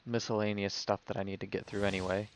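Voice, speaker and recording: A man's voice: fairly low pitch, flat delivery, quick pace, slight background noise. A man streaming a video game and giving casual live commentary as he plays.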